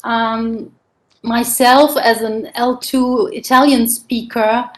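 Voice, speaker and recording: A woman's voice: a little high, flat delivery, slow. A woman whose first language is German, politely asking a thoughtful, hesitant question at an academic conference.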